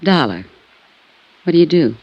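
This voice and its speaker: An actress playing a troubled American woman in her late twenties in a 1950s radio drama, speaking in a subdued, halting way. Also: low voice, big pitch swings, no room sound, dull audio, full character voice. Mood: uneasy, bitter. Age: young adult.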